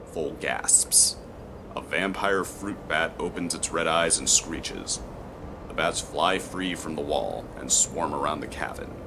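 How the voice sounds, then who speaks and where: A man reading scripted story narration in a measured, descriptive way. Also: deep voice, sharp tone.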